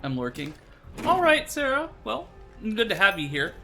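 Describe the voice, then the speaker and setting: A man's voice: high voice, huge pitch swings, normal speed, vocal fry, music in the background. A man streaming a co-op shooter, chatting casually with a friend, teasing and laughing often, with a somewhat nasal voice. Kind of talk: casual co-op gameplay chat.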